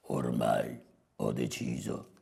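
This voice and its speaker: Very deep, growling hornet boss. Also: male voice, little pitch movement, slow pace, creaky, warm tone, breathy edge, heavily acted. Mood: firm. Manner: barks curt orders, emphatic. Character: gruff domineering male hornet company boss. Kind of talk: fable storytelling.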